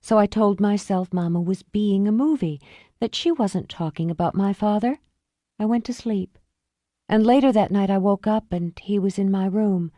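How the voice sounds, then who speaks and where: A woman's voice: mid-range voice, mellow, no echo, studio quality, pitched above the performer's own voice, natural voice. A woman doing a guarded, controlled young woman. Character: guarded young woman recalling her childhood.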